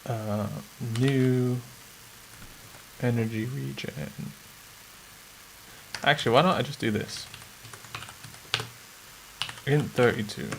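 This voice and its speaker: A man's voice: mid-range voice, takes it slowly, gravelly, pauses often. Man, casual streamer, mutters code aloud, faint typing behind. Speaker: streamer coding a game. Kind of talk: casual live-stream chat while coding. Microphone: desk streaming mic. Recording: faint background noise, keyboard typing.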